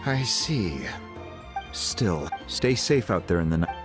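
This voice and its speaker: A man's voice: sits low, lively intonation, takes it slowly, over music. A man voicing an easygoing, tactful friend in a game, a little hesitant in his delivery. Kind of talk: game voice acting.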